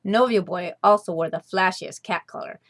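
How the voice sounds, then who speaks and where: A woman narrating a children's storybook expressively, with deliberate, drawn-out emphasis on key words. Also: medium pitch, a bit bright. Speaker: storybook reader.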